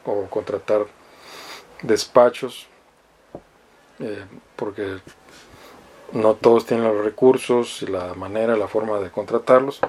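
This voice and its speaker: A man's voice: fairly low pitch, fairly even intonation, slow pace, pauses often, a little mellow, husky. A man from northern Mexico with a Mexican accent, lecturing as a law professor in a didactic, hesitant way, often seeking agreement with small questioning tags. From Mexicali, Baja California, Mexico. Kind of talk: explanatory law lecture, reading articles aloud and commenting.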